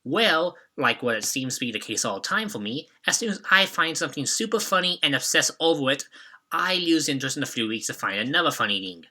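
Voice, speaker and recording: Young male reviewer with a sharp, forceful, very bright voice, reading scripted retrospective commentary. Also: medium pitch, big pitch swings, fairly quick. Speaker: video reviewer and host.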